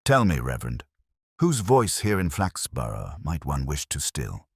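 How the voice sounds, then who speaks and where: A man playing a shrewd, formal police inspector who speaks deliberately in a mystery story. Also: a bass voice, huge pitch swings, slightly rough, no echo, clean studio sound, full character voice. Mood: probing. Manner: formal, cryptic, deliberate phrasing.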